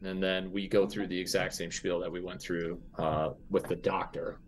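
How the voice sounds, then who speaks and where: A man playing a tabletop role-playing game in casual improvised speech, haltingly mulling things over aloud. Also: low-pitched, little pitch movement, slight background noise.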